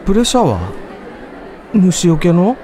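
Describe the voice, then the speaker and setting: A man's voice: high-pitched, very animated, measured pace, warm tone, some chatter behind. Guy doing a naive startled teen boy in a radio play, bouncy intonation, bit of noise. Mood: puzzled, disbelieving. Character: naive, startled adolescent schoolboy in a radio drama. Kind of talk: radio drama acting.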